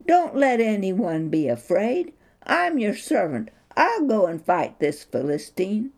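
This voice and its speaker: A brave young shepherd boy who speaks up earnestly and insists, as a woman storyteller voices him. His lines come at an unhurried pace with a lively, rising and falling pitch. It sounds like a storybook reading. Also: full character voice.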